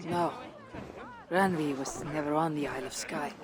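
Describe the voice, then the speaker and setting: An actress playing a tough, terse female Viking raider in a video game, with a gravelly voice and dry humor. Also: deep voice, steady tone, normal speed, natural voice. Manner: blunt, terse. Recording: crowd murmur behind.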